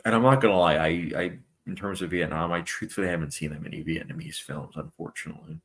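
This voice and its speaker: A man's voice: sits low, fast talker, a bit bright. A young man hosting a casual live stream, reading out viewer comments and chatting loosely with plenty of fillers. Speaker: movie channel host.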